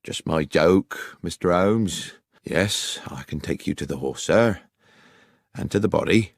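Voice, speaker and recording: A man's voice: low voice, animated, measured pace, gravelly, no echo, heavily acted. Man doing a deferential village police sergeant. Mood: chastened. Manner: obliging, says sir often. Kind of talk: audiobook character reading.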